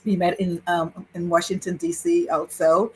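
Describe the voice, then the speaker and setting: A woman in her sixties, a priestess and interview guest, recounting her spiritual journey in an animated way with frequent laughter. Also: lowish voice, normal speed, slight background noise, rough recording. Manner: animated, laughs while telling a story. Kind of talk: personal storytelling in conversation.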